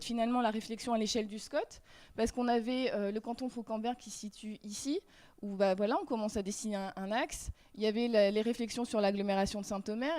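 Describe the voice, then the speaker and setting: A woman's voice: fairly high pitch, dark tone. A woman giving a workshop presentation on slides, hesitant with many fillers and restarts.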